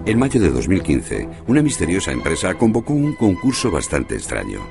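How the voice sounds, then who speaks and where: A man's voice: sits low, huge pitch swings, moderate pace, gravelly, over music. A man narrating a scripted mock-mystery radio fiction intro. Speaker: narrator of an audio fiction show.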